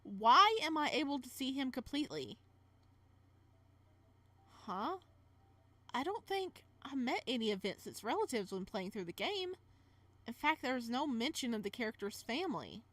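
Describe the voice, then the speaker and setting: A woman hosting a casual visual novel playthrough, reading narration aloud and adding playful, expressive side comments. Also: a little high, moderate pace, long pauses. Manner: expressive, playful reactions between read passages.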